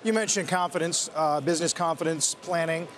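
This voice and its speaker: A male anchor with a notably high voice asks brisk, matter-of-fact questions on a live business show. He keeps it plain and direct. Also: a bit bright, slight background noise, low-quality recording. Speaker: TV news anchor.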